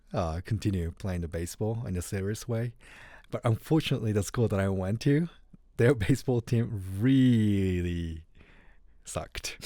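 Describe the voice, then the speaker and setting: A Japanese-accented man talks as an interview guest in an easy, unhurried way. His voice sits low and sounds mellow. The recording is close and dry. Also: no room sound. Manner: relaxed, unhurried, light grammar slips. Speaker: guest musician. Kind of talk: casual interview storytelling.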